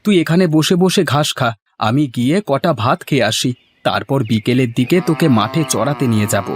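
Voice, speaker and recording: A man's voice: medium pitch, expressive, brisk, husky, no echo. A man voicing a poor, caring village farmer in a moral-story cartoon, earnest and plaintive.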